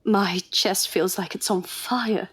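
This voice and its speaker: A woman's voice: medium pitch, fairly even intonation, slow, a bit bright, breathy edge, dry recording. A woman playing a witty, stubborn Edwardian undercover agent in a radio drama, British accent, with formal, chatty period phrasing. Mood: relieved. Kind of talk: radio drama acting and first-person narration.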